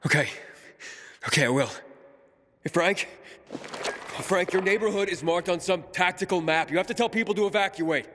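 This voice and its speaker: Tense young male survivor, fairly high voice. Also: normal speed, pauses often, a little hiss or hum. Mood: urgent. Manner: terse, urgent. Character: tough, protective young male survivor hero. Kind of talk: video game cutscene acting. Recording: loud music behind.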